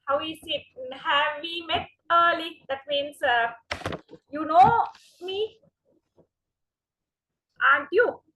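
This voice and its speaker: A woman with a Sri Lankan accent giving an online university lecture, slow and explanatory with frequent fillers. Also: sits high, pushed and bright, slight background noise, low-quality recording.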